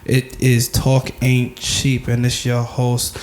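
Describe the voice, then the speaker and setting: A man's voice: mid-range voice, completely flat delivery, slow, a little mellow, slight background noise. A young man hosting a podcast, talking in a boastful, slangy way with lots of fillers. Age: young adult. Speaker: podcast host. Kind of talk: casual interview chat.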